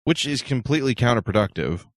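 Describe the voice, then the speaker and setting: A man's voice: mid-range voice, animated, fast, dry recording. A man chatting casually as the co-host of a talk show. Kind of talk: casual chat.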